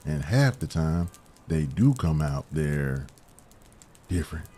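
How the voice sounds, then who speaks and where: A man's voice: a bass voice, sing-song, slow pace, mellow, exaggerated voice. An actor playing a wary, streetwise homeless man in an audio drama, with a laid-back, suspicious manner.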